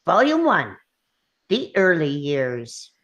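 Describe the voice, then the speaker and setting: A woman voicing a tiny old male gnome scientist, vain, fussy and impatient, in an animated script reading. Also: deep voice, slow pace, lo-fi sound, full character voice. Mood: self-important, pompous. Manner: impatient, self-important, fussy. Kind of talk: animated table-read acting.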